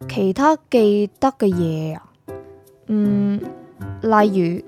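A woman's voice: mid-range voice, expressive, slow, dark tone, soft background music. A woman with a Hong Kong Cantonese accent playing an aloof, matter-of-fact soul prosecutor who is naive about humans, in an audio drama. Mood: baffled.

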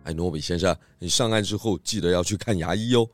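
A man playing a composed, astute pig detective, questioning deliberately in a children's radio drama. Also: sits low, no echo, soft background music, lightly acted. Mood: composed, probing.

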